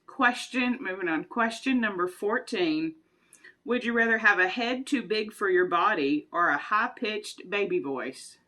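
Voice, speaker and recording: Chatty woman in her forties, bright voice, rambling and giggly vlog talk. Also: mid-range voice, animated, measured pace. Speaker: vlogger. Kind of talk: casual chat answering would-you-rather questions.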